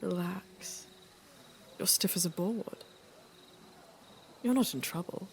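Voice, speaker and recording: A woman playing an aging queen, low and gravelly. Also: a little hiss or hum. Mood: wry, teasing. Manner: measured, deliberate, dryly teasing. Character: aging queen and mother, worried, wry, regal. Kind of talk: dramatic fantasy court audio drama.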